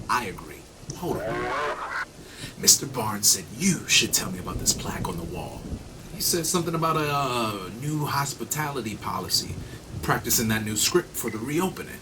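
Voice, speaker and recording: A man playing an excitable, ingratiating diner customer in an audio drama, flustered and overly polite as he talks. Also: mid-range voice, normal speed, long pauses, very noisy, natural voice. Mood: eager, polite.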